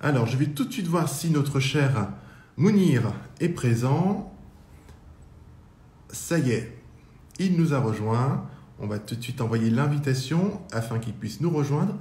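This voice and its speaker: A man hosting a live-streamed interview, talking warmly and at length with rambling questions. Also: medium pitch. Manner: warm, effusive, rambling questions. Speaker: interview host.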